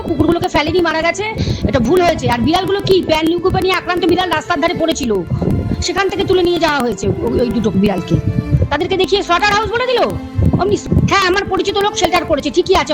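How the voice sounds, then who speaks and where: A woman speaking defensively and insistently in a heated phone conversation. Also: high-pitched. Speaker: animal rescuer. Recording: phone call recording with music underneath.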